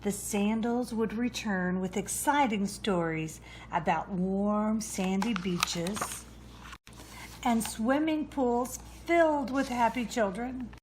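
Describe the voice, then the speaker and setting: A woman reads a children's storybook aloud at a slow, deliberate pace. Her pitch sits in the middle range for a woman, and there is some background noise behind her. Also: a little mellow, rough recording. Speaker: storybook reader.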